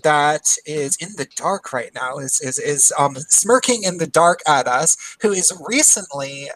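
A man's voice: a little high, measured pace, fairly bright tone, low-quality recording. A man in his thirties hosting a podcast, chatting casually and laughing often over a call. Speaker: podcast host. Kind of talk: casual chat.

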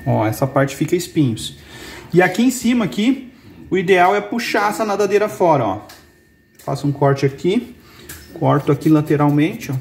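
A man in his forties gives a calm, patient cooking demonstration in a home kitchen, walking through each cut. His voice sits high for a man. A faint hiss runs under the recording from the camera mic. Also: normal speed. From Brazil. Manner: calm step-by-step explaining, pointing things out. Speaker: fishing channel host. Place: indoor kitchen with brick and wood walls.

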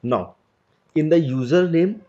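A man's voice: on the high side, unhurried, warm tone. Guy doing a screen tutorial, step by step, kinda dull lo-fi audio. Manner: step-by-step explanatory, loose phrasing. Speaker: tutorial instructor. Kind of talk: screen-recorded software tutorial.

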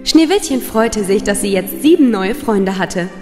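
A woman's voice: medium pitch, animated, a little slow. Female storyteller for children's fairy-tale narration, mid-range, long reverb over a music bed.

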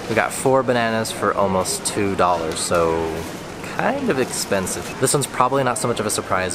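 Young guy with a low voice talking to his phone cam in a supermarket, laid back, explaining stuff, aircon and store noise behind. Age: young adult. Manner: relaxed, explanatory, chatty. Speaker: travel vlogger. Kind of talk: casual vlog commentary. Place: large indoor supermarket. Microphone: selfie camera at arm's length. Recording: store noise and air conditioning.

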